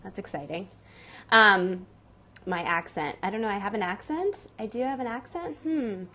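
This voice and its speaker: A woman's voice: mid-range voice, animated, normal speed, pushed and bright. A woman business coach and studio owner chatting casually with live viewers from a handheld camera, upbeat and full of fillers and restarts.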